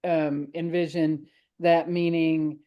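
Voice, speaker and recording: A woman's voice: low-pitched, level tone, slow, lo-fi sound. A woman hosting a church discussion group, encouraging others to speak while stumbling through many fillers. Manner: warm, encouraging, hesitant with fillers. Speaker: discussion host.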